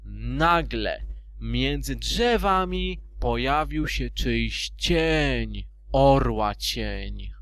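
A man's voice: medium pitch, lively intonation, extremely slow, a bit bright, clear, resonant tone. A man narrating a storybook in an amateur fan dub, theatrically and with loose asides.

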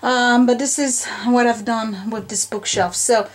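A woman's voice: on the high side, steady tone, a little slow. Chatty woman vlogging a home project. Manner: chatty, filler-heavy, thinking aloud while working. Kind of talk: casual show-and-tell narration. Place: basement room at home, indoors.

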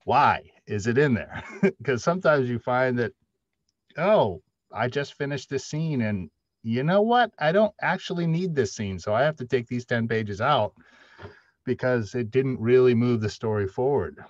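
A man's voice: medium pitch, lively intonation, measured pace, dry recording. A middle-aged man giving his views emphatically as a panelist in an unscripted discussion over a video call. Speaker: panelist, audio drama writer. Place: small room at home, indoors. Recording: dull, dry video-call audio.

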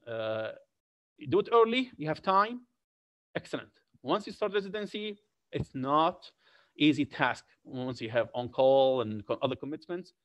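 A middle-aged male physician with an Arabic accent and a slight French tinge, lecturing medical students over slides in a deliberate way, with many uh fillers and repeated points. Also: medium pitch, dry recording. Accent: Syrian Arabic with a slight French tinge. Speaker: physician giving a career talk.

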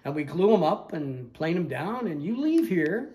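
A man's voice: a little high, sing-song, normal speed, mellow, rough recording. An older man, the owner of a woodworking school, chatting casually and explaining things with small jokes as he walks through a large indoor shop, recorded on a camera held by the interviewer. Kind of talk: casual shop tour talk.

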